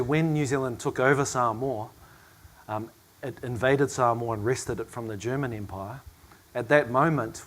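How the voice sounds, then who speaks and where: A hoarse man in middle age chairs a panel, his voice worn thin by a cough. He speaks reflectively and at a measured pace, at an ordinary middle pitch for a man. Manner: reflective, measured, with soft asides and small laughs. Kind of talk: panel discussion remarks.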